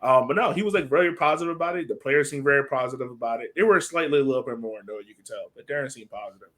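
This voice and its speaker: A man's voice: mid-range voice, racing, fairly bright tone. A man co-hosting a soccer podcast in an informal, conversational way, chatting casually about the game. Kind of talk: casual sports chat.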